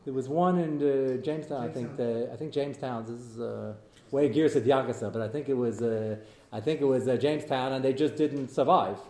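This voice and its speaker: A man teaching a Torah class in a lively way, reading out Hebrew texts and explaining them to students. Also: medium pitch, a little fast, echoey room. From United States.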